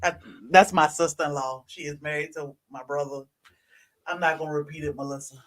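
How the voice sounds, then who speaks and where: A woman's voice: sits low, fairly even intonation, brisk. A woman with an American accent chatting casually in a group.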